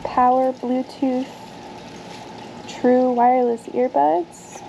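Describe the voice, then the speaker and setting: Chatty woman in her thirties, slightly gravelly. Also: high voice, level tone, slow, warm tone, slight background noise, poor audio. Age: 30s. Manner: chatty, thinks aloud while sorting items. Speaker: vlogger sorting dumpster finds. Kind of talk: casual narration to camera. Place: outdoors on a backyard patio.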